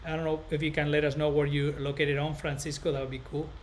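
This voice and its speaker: A man with a Spanish accent and a fairly high voice hosts a casual live stream for viewers. He talks in a rambling, halting way, circling back and repeating phrases. Clear background noise sits behind his voice. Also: level tone. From northern Spain. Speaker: barber educator and live stream host.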